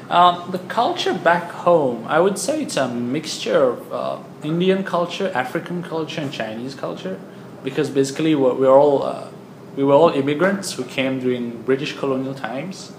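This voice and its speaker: A young student speaks hesitantly, restarting his phrases. His voice has a gravelly edge. The sound rings in an echoey room. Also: a little high, lively intonation, normal speed, a little hiss or hum. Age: young adult. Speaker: university student. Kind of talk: interview answer.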